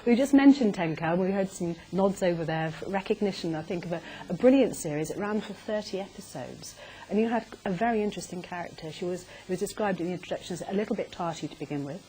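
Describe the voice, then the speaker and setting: A woman's voice: on the low side, fast talker, mellow, a little hiss or hum, rough recording. A woman hosting a live on-stage interview with light, teasing banter. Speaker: interviewer, host. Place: arts centre theatre, live on stage before an audience.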